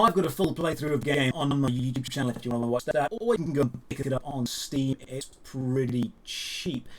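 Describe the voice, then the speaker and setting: A man hosting an opinionated geek and gaming news show, talking in a conversational, emphatic way with rhetorical asides. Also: on the high side. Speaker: YouTube host presenting geek and gaming news. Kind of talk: opinionated news commentary to camera.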